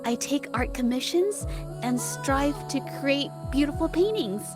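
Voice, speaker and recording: A shy young female artist in an anime-style game. Her voice sits high, and she speaks earnestly and politely, hesitating and stammering with nerves. Music plays clearly underneath. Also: lively intonation, measured pace. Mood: nervous.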